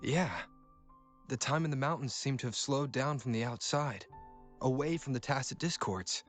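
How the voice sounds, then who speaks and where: A man voicing a calm, mid-pitched game hero. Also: soft background music, natural voice. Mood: thoughtful, earnest. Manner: measured, reflective. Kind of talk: video game voice acting.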